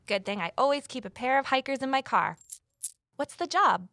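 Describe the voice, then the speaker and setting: An actress playing a curious, eager former journalist in an audio drama, with a conversational, inquisitive delivery. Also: female voice, high-pitched, expressive, normal speed, sharp tone, no echo, clean studio sound, exaggerated voice. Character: curious female ex-journalist, eager new conservation worker. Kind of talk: audio drama dialogue and first-person narration. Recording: clear background noise, outdoor ambience.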